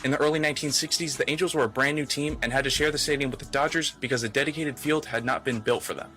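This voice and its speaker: A man's voice: mid-range voice, fast talker, sharp tone. A man reading a scripted sports history narration. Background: music.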